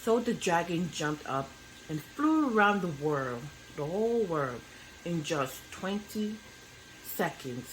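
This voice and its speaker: A woman reading a children's bedtime story aloud as an expressive narrator. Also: fairly low pitch, takes it slowly, slight background noise, low-quality recording.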